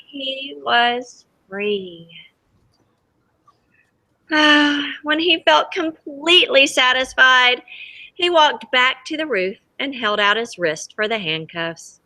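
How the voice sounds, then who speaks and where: Bright, unhurried woman reading aloud. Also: high voice, low-quality recording. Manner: expressive, dramatic, pauses to ask the children questions. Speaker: teacher reading a picture book aloud to children. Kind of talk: animated storybook read-aloud to a class. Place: school library with children.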